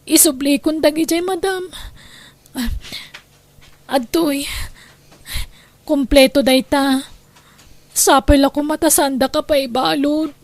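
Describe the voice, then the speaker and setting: A woman acting as a young injured thief in an Ilocano radio drama. The voice is high and plaintive, full of remorse and pleading, in a dry recording. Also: steady tone, slow pace, long pauses, no room sound. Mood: pleading, remorseful. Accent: Filipino, Ilocano.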